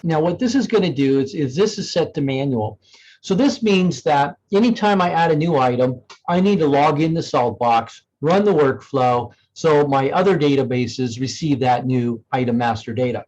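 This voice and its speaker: A man presenting an informal software demo in a conversational, explanatory way, thinking aloud as he goes. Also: medium pitch, normal speed, muffled recording. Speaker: software demonstrator.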